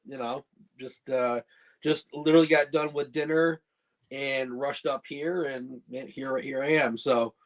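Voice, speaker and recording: A man's voice: mid-range voice, steady tone, a little slow. A man co-hosting a casual podcast chat in an easygoing, agreeable way, often echoing his partner and laughing along. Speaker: podcast co-host.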